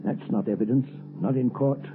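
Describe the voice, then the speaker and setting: A man's voice: medium pitch, moderate pace, slight background noise, close to an everyday voice. A man playing a guilty, haunted villain in a 1930s radio thriller, agitated and defiant, on a tinny old recording.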